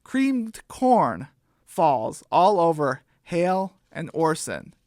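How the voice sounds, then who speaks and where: A woman's voice: on the low side, very animated, takes it slowly, darkish tone, no echo, clean studio sound. A woman narrating and hosting a homemade children's radio play. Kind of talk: children's story narration and host sign-off.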